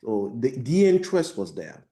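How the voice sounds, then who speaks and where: A man's voice: medium pitch, sing-song, moderate pace, warm tone. A filmmaker from Haiti with a Haitian accent, answering questions thoughtfully on a video call, often restarting his sentences. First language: Haitian Creole or French. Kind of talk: post-screening Q&A over video call.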